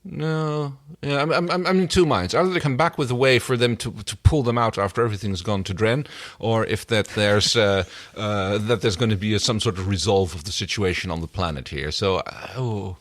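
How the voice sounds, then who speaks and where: A man's voice: on the low side, normal speed, hardly any pauses, fairly bright tone, dry recording. A man chatting casually as a podcast co-host about a TV show, hesitant and often rephrasing mid-sentence. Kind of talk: casual TV episode discussion.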